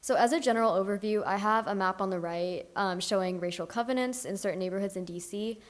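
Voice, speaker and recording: Young woman presenting research, steady and scripted, clear delivery. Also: mid-range voice, fast talker. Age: young adult. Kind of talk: academic presentation.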